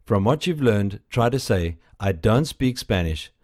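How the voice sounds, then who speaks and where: A man guides learners through a language course in scripted, patient prompts. He takes his time and lets his pitch move freely from line to line. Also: lively intonation, slow pace, a little mellow. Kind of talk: scripted instruction.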